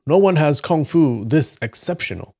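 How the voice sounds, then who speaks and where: Man reading as a suspicious, mellow martial arts master. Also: a little high, sing-song, moderate pace, vocal fry, voice pushed up, full character voice. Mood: suspicious, plotting.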